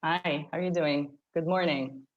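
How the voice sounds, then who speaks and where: Female panel moderator, lowish voice, dull muffled sound, quick to ask questions. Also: fairly even intonation. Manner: upbeat, direct questioning.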